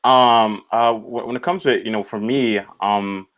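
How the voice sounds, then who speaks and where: Deep-voiced man, halting, dull audio. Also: steady tone, a bit bright. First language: Swahili. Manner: halting, repeats "you know". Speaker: film director being interviewed. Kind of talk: casual Q&A answers.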